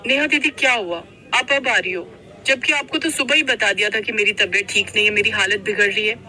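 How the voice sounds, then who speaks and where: A woman's voice: medium pitch, brisk, sharp tone, low-quality recording. A woman voicing a haughty young wife who plays favourites, in a cartoon family story. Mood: reproachful.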